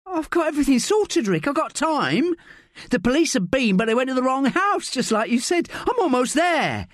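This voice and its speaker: A frightened young woman, lost and pleading, her voice lifted well above the reader's own and swinging widely in pitch, as a man puts her on. She sounds close and dry, as in a studio audiobook. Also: big pitch swings, normal speed, no room sound. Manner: tearful, desperate pleading.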